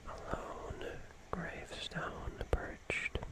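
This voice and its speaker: ASMR storyteller, soft whisper throughout, slow halting phrasing with long pauses. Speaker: ASMR host telling a personal story.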